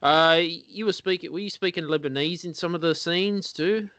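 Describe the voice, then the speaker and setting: A man's voice: on the high side, moderate pace, fairly bright tone, no room sound. A man hosting an interview show, asking hesitant questions between brief listening sounds. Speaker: radio show host. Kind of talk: casual interview conversation.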